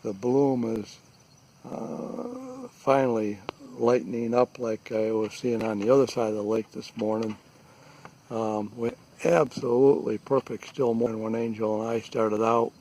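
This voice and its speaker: A man giving a halting, deliberate, matter-of-fact informal field narration outdoors, recorded on a handheld device. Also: mid-range voice, mellow, low-quality recording. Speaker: lake resident surveying an algae bloom. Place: outdoors, out on a small lake. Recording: faint outdoor background noise.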